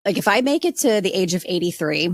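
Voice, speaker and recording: A woman's voice: on the low side, expressive, fast talker, no room sound, studio quality. A woman podcast panelist telling a true crime story in a wry, colourful, informal style.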